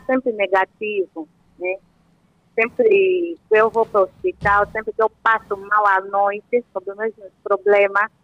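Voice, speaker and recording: A woman's voice: sits high, creaky, no echo. A 28-year-old woman with an Angolan accent, calling a radio phone-in and casually explaining a personal problem to a doctor. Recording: dull lo-fi phone line.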